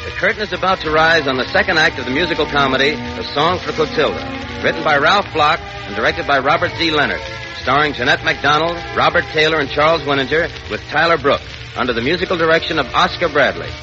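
A man's voice: fairly high pitch, fast talker. A man announcing a radio play in formal scripted vintage broadcast style. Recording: muffled old radio recording over music.

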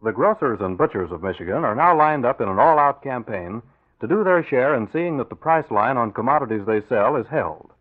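A man's voice: mid-range voice, animated, fairly quick. A man with an American accent announcing and narrating in scripted 1940s radio style. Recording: tinny, phone-like old radio recording.

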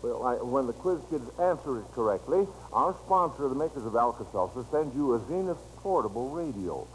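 A man's voice: medium pitch, lively intonation, a little fast. A man with an American accent hosting an old-time radio quiz show, folksy and chatting to the listeners as friends. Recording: noisy vintage radio broadcast recording.

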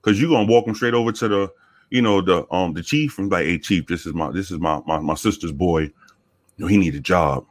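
A man from the Bronx with a very deep voice, telling profane, animated stories with many restarts in a casual interview. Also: brisk, dry recording. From the Bronx, New York. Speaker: guest, former police officer.

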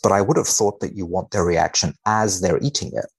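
A man speaking as a podcast guest in a measured, deadpan way with dry humour, both chatting and reading research aloud. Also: low voice, gravelly, breathy edge. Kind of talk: wry conversation and dramatic reading of a research paper.

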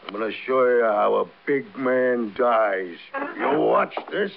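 An actor playing a big, childish, bragging hoodlum who is wounded and dying, in an old radio crime drama. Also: male voice, medium pitch, lively intonation, takes it slowly, gravelly. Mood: bitter, fading. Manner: taunting, rambling, repeats himself. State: wounded, labored speech. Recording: muffled, noisy vintage radio recording.

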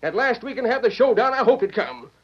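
A fiery old American frontier businessman in a radio Western. His voice sits very high for a man, and he blusters stubbornly in folksy frontier speech. The sound is dull, lo-fi vintage radio. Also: very animated, a little fast, fairly bright tone, breathy edge, full character voice. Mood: furious, defiant. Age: older. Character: fiery, stubborn old frontier stage line owner, a father.

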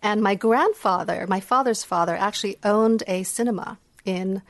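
A middle-aged woman who grew up with Indonesian and Dutch talks as an interview guest. She is gracious and reflective, with a mid-range pitch and a dry sound free of room echo. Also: normal speed. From Indonesia and Hong Kong. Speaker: guest, music psychology researcher. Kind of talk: conversational podcast interview. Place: remote video call from home. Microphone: video call.

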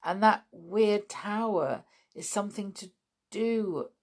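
A woman's voice: mid-range voice, slow. A woman reading as a polite, secretive boy. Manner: polite and inquisitive.

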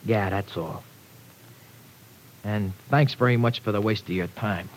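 Man playing a low-voiced young streetwise tough. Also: a little slow, lightly acted. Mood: awkward, pleading. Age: young adult. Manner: slangy, ungrammatical tough-kid talk. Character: young streetwise wayward man, rough but humble. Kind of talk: 1940s radio drama acting. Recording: noisy old radio recording with rumble.

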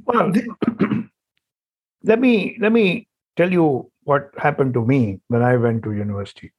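Emphatic man, dark husky voice. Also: fairly high pitch, a little slow, rough recording. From India. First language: Hindi. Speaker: panel guest. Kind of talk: panel discussion.

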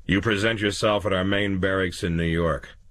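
A man voicing a calm official who speaks in a level, businesslike way without malice. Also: deep voice, moderate pace, no echo.

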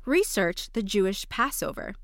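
A woman's voice: mid-range voice, big pitch swings, normal speed, dry recording, clean studio sound. A woman reading a scripted daily devotional for a podcast.

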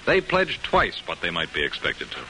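An actor playing a dignified, reassuring gentleman and loyal friend in a mid-century radio drama. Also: sits low, huge pitch swings, normal speed, heavily acted. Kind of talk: 1950s radio drama acting. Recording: hissy, noisy old radio broadcast recording.

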